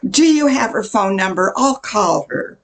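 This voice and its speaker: A woman's voice: medium pitch, lively intonation, a little slow, creaky. An older woman playing a brassy, short-tempered diner waitress with a blunt, sassy manner in an amateur radio play. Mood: exasperated.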